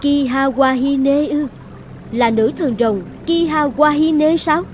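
A woman voicing an imperious volcano goddess in an animated fairy tale, commanding and formal. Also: high-pitched, takes it slowly, a little hiss or hum. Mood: stern, surprised. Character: imperious volcano goddess guarding her island.

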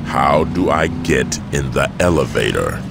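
An actor playing a middle-aged gangster enforcer in a video game, gruff, gravelly, cool and menacing. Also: male voice, very deep voice, very animated, a little slow, loud music behind the voice, heavily acted. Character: gruff, self-assured veteran gangster enforcer, male. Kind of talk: video game character acting. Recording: loud vehicle and ambient noise behind.

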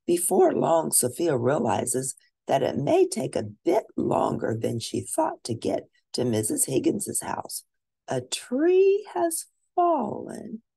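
A woman reading a children's storybook aloud expressively, with drawn-out emphasis for young listeners. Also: deep voice, wildly swooping pitch, creaky, mellow, breathy edge. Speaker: storybook reader.

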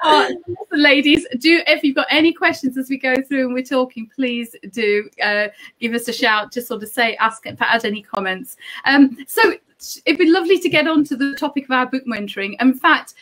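A woman's voice: high-pitched, normal speed, fairly bright tone. Effusive woman hosting an interview. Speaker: host. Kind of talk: casual interview chat.

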